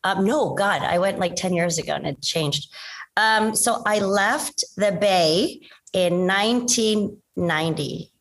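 A woman's voice: mid-range voice, slow. A woman guest in a casual interview, chatty and self-deprecating, with frequent fillers and restarts as she tells her story. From United States.

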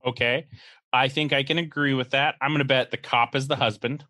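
Male podcast co-host: sarcastic, rambling jokes, bright tense tone, dry close mic. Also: medium pitch, fairly even intonation, brisk, dry recording. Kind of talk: casual comedic chat reviewing a movie poster.

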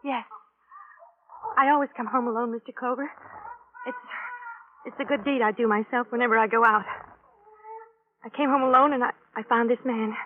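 A woman playing a fragile, evasive young socialite in old radio drama, speaking haltingly and repeating herself. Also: fairly high pitch, fairly even intonation, natural voice. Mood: shaken, evasive. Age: young adult. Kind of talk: 1940s-50s radio drama acting. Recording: tinny, noisy old radio broadcast.

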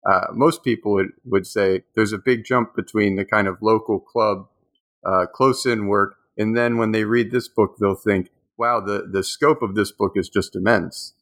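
A man's voice: on the low side, fairly even intonation, moderate pace, fairly bright tone. A man hosting a book interview podcast, hesitant and repeating words as he asks questions. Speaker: podcast host.

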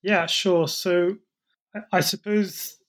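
A man's voice: sits high, steady tone, takes it slowly, fairly bright tone. A middle-aged man, a historian raised in France and the UK, answering interview questions in a thoughtful, slightly hesitant way. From born in Peru, raised in France and the UK.

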